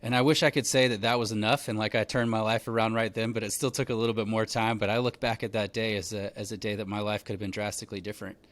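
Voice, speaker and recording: A man's voice: fairly low pitch, quick pace, few pauses. Laid-back man telling a story. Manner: relaxed storytelling, lots of fillers like "like" and "man". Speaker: podcast host. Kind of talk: casual conversational interview.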